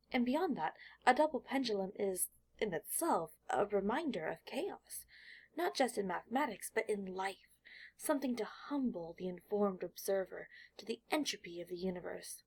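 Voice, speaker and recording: A woman with a slightly husky voice reading scripted fiction as an audiobook narrator. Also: medium pitch, darkish tone.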